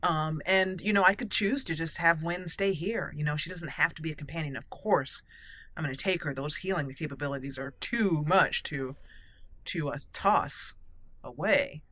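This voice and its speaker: A woman's voice: sits low, normal speed, a bit bright. A woman giving roleplay commentary as she plays a video game.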